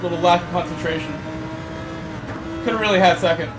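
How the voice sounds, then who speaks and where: A man's voice: sits high, steady tone, fairly quick, over loud music, poor audio. A man with a Connecticut accent streaming a racing game, chatting casually and laughing with his viewers. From Connecticut, USA. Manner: chatty, laughs easily, talks to chat mid-race. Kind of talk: casual live-stream chat while racing.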